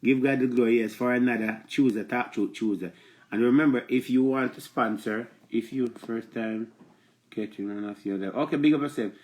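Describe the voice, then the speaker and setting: A man's voice: medium pitch, moderate pace. A man in his forties with a Jamaican accent, hosting a live talk stream from a small room with a stuffy nose, chatty and repetitive. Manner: chatty, repetitive, animated when addressing viewers. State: stuffy from allergies. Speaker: live show host. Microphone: phone camera close up.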